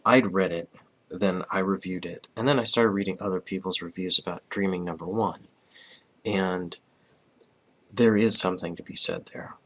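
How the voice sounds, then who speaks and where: A man in his thirties, hoarse from a cold, reviewing a comic casually and rambling with restarts. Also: deep voice, fairly even intonation, moderate pace, bright, forceful tone, slight background noise, poor audio. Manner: rambling, frequent restarts and throat clearing.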